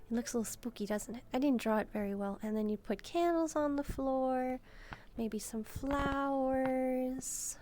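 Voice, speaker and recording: Woman playing a soft, mellow, timid demon maid. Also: high voice, a little slow. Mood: musing, gentle. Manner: hesitant, timid, musing aloud. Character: gentle, shy female lesser demon maid. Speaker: roleplay video creator. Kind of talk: soft-spoken first-person roleplay, relaxing.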